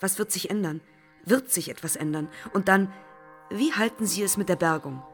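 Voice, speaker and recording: An insistent woman journalist in a radio play. Her voice sits at a middle pitch and carries a probing, questioning manner. Music is faint behind her. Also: normal speed, breathy edge. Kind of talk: German radio play acting.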